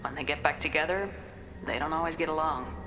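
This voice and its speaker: A woman's voice: low-pitched, fairly quick, bright, forceful tone, a little hiss or hum, rough recording. A woman playing a resolute military commander in a video game, direct, controlled and businesslike. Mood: measured, firm. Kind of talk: video game character acting.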